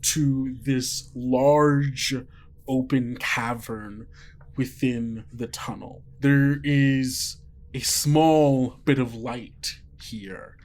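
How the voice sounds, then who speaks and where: Unhurried man hosting tabletop play. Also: mid-range voice, fairly even intonation, a little mellow. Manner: informal, halting, descriptive. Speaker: podcast host and game master. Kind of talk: narrating and hosting an actual-play tabletop podcast.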